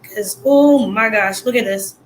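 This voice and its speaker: A hoarse woman giving casual, blunt live reaction commentary full of slang. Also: mid-range voice, unhurried, slight background noise, rough recording. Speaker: livestream commentator.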